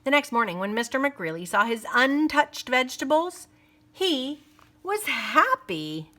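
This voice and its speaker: A woman teacher reading a picture book aloud, pausing for teaching asides and dropping to playful whispers for suspense. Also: sits high, very animated, bright, forceful tone.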